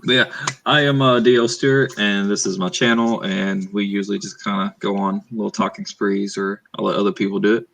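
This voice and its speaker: Low gravelly man, soft Southern accent. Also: level tone, brisk, slight background noise, rough recording. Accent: Southern US, northeast Arkansas. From Arkansas, USA. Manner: laid-back, rambling plugs with fillers. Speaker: author and channel host. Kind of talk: casual livestream chat.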